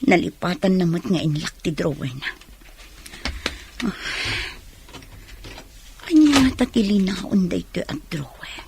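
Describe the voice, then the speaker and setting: A woman's voice: fairly low pitch, sing-song, a little slow, vocal fry, pauses often, background noise, exaggerated voice. A woman playing an unhappy, reluctant bride in a radio drama, with a plaintive, dramatic delivery. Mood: musing, hushed. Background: music.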